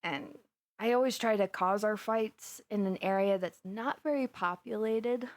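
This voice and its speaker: A woman's voice: medium pitch, measured pace, natural voice. Woman acting a giddy, chatty young villainess. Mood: confessional, softer. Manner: gossipy, rambling, animated storytelling with giggles. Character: giddy, chatty, flustered young villainess confiding in her best friend. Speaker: voice actor in an audio roleplay. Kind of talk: conversational audio roleplay monologue.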